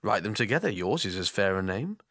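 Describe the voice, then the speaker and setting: A man playing a bitter, scheming Roman nobleman in classical Shakespearean style, declamatory, deliberate and persuasive. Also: on the low side, big pitch swings, a bit bright, no room sound, studio quality. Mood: scornful, persuasive. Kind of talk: classical Shakespearean stage acting.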